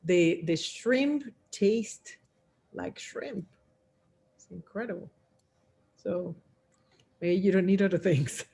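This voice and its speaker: A middle-aged woman from Venezuela chats casually as she hosts an informal online session. Her voice sits a little low with a husky grain, and she talks expressively, working out thoughts as she speaks. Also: expressive, takes it slowly, long pauses, mellow. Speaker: session host. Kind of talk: casual group chat.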